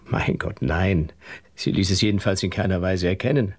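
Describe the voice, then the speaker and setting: A man's voice: sits low, normal speed, a little mellow, husky. An actor playing an earnest married man under suspicion in a radio drama, defensive and emphatic in his denials. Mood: pained, defensive.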